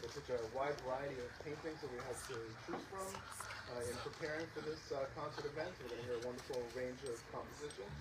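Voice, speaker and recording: A man co-directing a concert series, making smooth announcements to an audience. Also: medium pitch. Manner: smooth, promotional, speaks in full sentences. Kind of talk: announcement-style concert talk. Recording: distant outdoor recording with loud noise.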